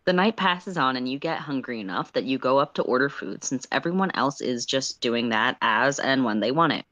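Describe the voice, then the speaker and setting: Casual story reader on a stream, mid pitched voice, dry sound, jokey and self deprecating. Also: lively intonation, normal speed, sharp tone, dry recording. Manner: self-deprecating, playful asides when slipping up. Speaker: co-narrator reading a story aloud. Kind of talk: livestream story reading with casual asides.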